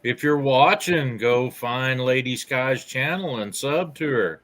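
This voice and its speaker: A man's voice: mid-range voice, slow, crowd noise. A man from Oklahoma with an American accent, hosting a casual panel chat. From Oklahoma, United States.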